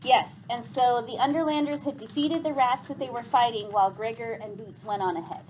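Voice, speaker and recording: A woman teacher reading a story aloud to pupils in an expressive, clearly enunciated way. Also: fairly high pitch, fairly quick, slight background noise, rough recording.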